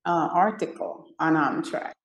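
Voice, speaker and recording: A woman's voice: low-pitched, measured pace, roomy sound. A woman born in Jamaica, speaking earnestly as an interview guest. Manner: earnest, repeats herself, fillers like "you know". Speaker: guest, women's mentor. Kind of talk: conversational interview.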